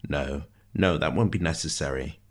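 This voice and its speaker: A man's voice: extremely low pitch, normal speed. A man voicing a wry, precise, theatrical prisoner with a dry voice in a storybook reading. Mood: wry, playful.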